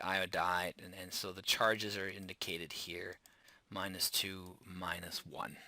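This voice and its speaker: A man with a German accent lectures on chemistry in a lowish, intensely bright and forceful voice. He explains step by step, often restarting phrases and spelling out endings letter by letter. The sound is dry and close, with no room echo. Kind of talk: classroom lecture.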